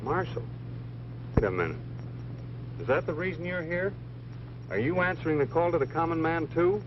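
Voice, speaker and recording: A man plays a self-assured American city columnist in a 1940s comedy, full of wisecracks. His delivery is very animated. The sound is muffled, with clear noise behind it. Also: a little high, moderate pace, lightly acted. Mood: wry, teasing. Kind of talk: 1940s comedy film acting. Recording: muffled, noisy vintage film soundtrack.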